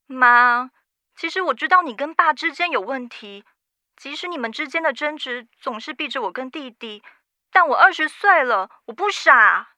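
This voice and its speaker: An earnest, caring daughter of twenty. Her voice is high and piercingly bright, recorded very dry, in radio drama style. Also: expressive, normal speed, no echo, heavily acted. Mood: earnest, pained. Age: 20s. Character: earnest twenty-year-old daughter, worried and protective.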